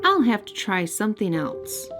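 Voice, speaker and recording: A woman voicing an earnest, inventive little boy in a storybook, thoughtful and gentle. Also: mid-range voice, big pitch swings, moderate pace, music in the background, close to an everyday voice.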